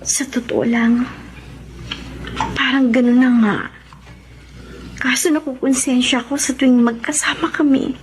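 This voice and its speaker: A woman's voice: sits high, steady tone, unhurried, pauses often, a little hiss or hum. An actress playing a conflicted, guilt-ridden young woman who pleads earnestly in a Tagalog radio drama, with an emotional delivery. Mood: guilty, anxious. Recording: music under the voice. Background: dramatic background music.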